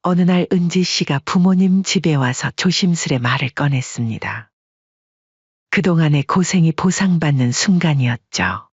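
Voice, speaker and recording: A woman narrating a family story in an even, storytelling delivery. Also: deep voice, moderate pace, breathy edge, no room sound, lo-fi sound.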